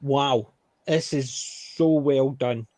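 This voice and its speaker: A man's voice: mid-range voice, extremely slow, a little mellow, dry recording. A Scottish man chatting casually as a podcast co-host, joking and self-deprecating. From Scotland. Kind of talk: casual group chat.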